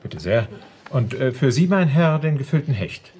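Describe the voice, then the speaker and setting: A man's voice: mid-range voice, sing-song, moderate pace, a little mellow, a little hiss or hum, natural voice. An actor playing a formal, deferential male restaurant waiter in a German radio play, speaking with polite hesitation.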